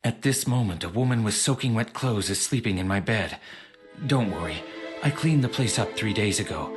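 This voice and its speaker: An actor playing an earnest, awkward young rebel officer in an anime audio drama, with a hesitant, sincere, easily flustered delivery. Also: male voice, lowish voice, lively intonation, normal speed, over music, close to an everyday voice. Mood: confiding, earnest. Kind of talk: anime dub audio drama acting, confiding monologue.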